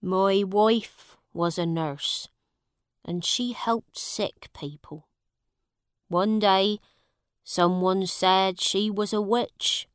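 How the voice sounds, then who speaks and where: A woman voicing a poor male farmer who slowly tells the sad story of his lost family. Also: mid-range voice, steady tone, smooth, no echo, clean studio sound. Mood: sorrowful. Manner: slow, heavy storytelling.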